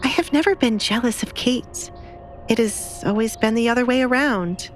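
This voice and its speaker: Woman acting a blunt, defiant young noblewoman, raised pitch. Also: normal speed, soft background music, close to an everyday voice. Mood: blunt, cold. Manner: blunt, cold, sarcastic. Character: bitter, defiant young noblewoman, neglected daughter. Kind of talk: dramatized audiobook acting.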